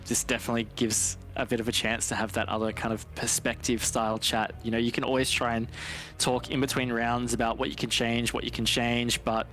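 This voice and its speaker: A man's voice: mid-range voice, flat delivery, brisk, fairly bright tone, soft background music, poor audio. A man giving analytical live commentary on an esports match.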